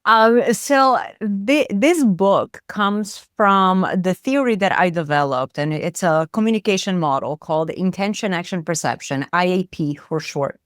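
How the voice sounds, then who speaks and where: Brazilian woman therapist talking thru ideas, smooth voice, dry close sound. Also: fairly low pitch, unhurried, hardly any pauses, dry recording. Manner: thoughtful, explanatory, many fillers. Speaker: psychotherapist guest. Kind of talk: interview conversation.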